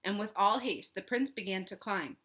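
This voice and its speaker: A woman reading a storybook aloud for children with expressive narration and chatty asides to her young listeners. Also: medium pitch, moderate pace. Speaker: read-aloud host for children.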